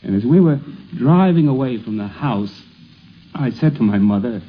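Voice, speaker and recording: A man in his forties from the United States shares recollections in a conversational way. His voice is medium in pitch, heard through a thin, phone-like 1950s radio recording with slight noise. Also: expressive, moderate pace. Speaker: interviewee recalling family life. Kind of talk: conversational anecdote telling. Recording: tinny, faintly noisy 1950s radio recording.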